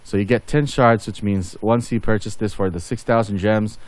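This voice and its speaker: A man's voice: fairly low pitch, fairly even intonation, normal speed, no room sound. A man giving casual game update commentary as a channel host, rambling and thinking aloud as he goes.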